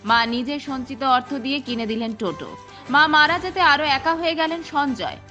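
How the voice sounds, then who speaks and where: A woman's voice: high-pitched, moderate pace, bright, forceful tone. A woman with an Indian Bengali accent reading a scripted human-interest news report as a voice-over. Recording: muffled lo-fi voice-over over music. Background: background music.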